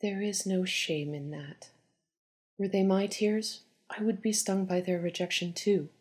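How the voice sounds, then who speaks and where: A woman playing a poised, pragmatic Egyptian goddess queen and oracle in an audio drama, with measured, formal delivery. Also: mid-range voice, steady tone, a little mellow, natural voice. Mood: sympathetic. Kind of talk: dramatised audio story reading.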